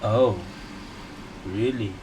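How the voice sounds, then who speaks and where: A man's voice: fairly low pitch, drawn-out words, poor audio. A man giving casual gameplay commentary, musing aloud in short questions and remarks. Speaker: gaming video creator. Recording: loud constant background noise.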